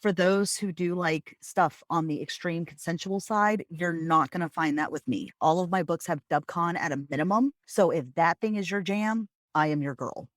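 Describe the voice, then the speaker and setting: A woman's voice: lowish voice, moderate pace, hardly any pauses, dry recording, clean studio sound. A woman talking casually about her own books as a guest author.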